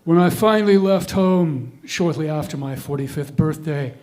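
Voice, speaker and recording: A middle-aged man performing a scripted comic monologue in ornate wording, with deliberate, deadpan delivery. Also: fairly high pitch.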